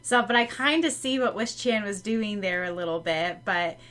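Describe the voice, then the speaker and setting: Bright-voiced chatty podcast woman. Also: medium pitch, normal speed. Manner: relaxed, rambling, laughs between thoughts. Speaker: podcast co-host and audiobook narrator. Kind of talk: casual chat about a fanfiction chapter.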